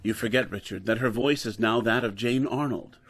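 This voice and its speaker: A man playing a dedicated, weary scientist professor in old-time radio drama style, speaking in a measured, formal way. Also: medium pitch, fairly bright tone, slightly rough. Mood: grave, hushed.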